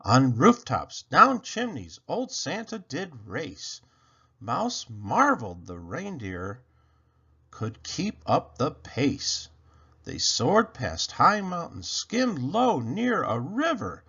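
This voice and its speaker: Male children's read-aloud narrator, husky and bright, highly animated delivery, slightly dull recording. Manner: theatrical, deliberate phrasing. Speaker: children's storybook reader. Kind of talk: expressive rhyming picture-book read-aloud.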